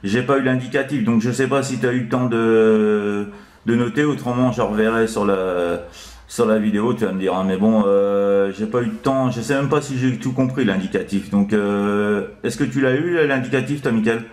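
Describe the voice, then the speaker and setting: A man's voice: on the low side, normal speed, a little mellow. A man having a casual CB radio chat as an operator, rambling and hesitant, repeating little filler tags. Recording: echoey room.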